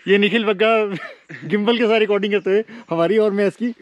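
A man's voice: high voice, moderate pace, dry recording, muffled recording. A man vlogging outdoors on a forested mountainside, chatting and joking with his viewers as friends. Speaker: travel vlogger. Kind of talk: casual vlog narration to camera.

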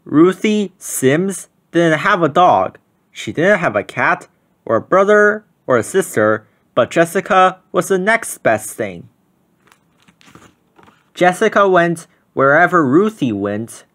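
Children's storybook narrator. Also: mid-range voice. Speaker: children's book reader. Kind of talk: read-aloud storybook narration.

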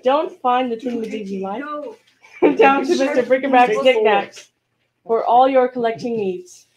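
A woman playing a peppy shop pitchwoman in a comic radio sponsor spot. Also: normal speed, crowd noise, natural voice. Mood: salesy. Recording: faintly noisy amateur recording.

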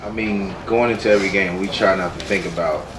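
A man's voice: low-pitched, fairly even intonation, quick pace. A man in his early twenties from New Jersey, a college football player speaking earnestly at a press conference. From New Jersey, United States. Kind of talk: post-game press conference answers. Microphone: handheld microphone. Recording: roomy, distant mic, clear background noise.

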